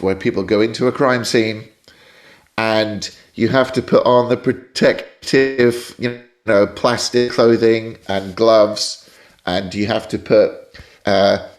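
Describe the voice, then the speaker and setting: A man from Scotland with a British accent, a guest jazz saxophone teacher giving thoughtful, explanatory answers in a podcast interview and wandering off on tangents. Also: lowish voice.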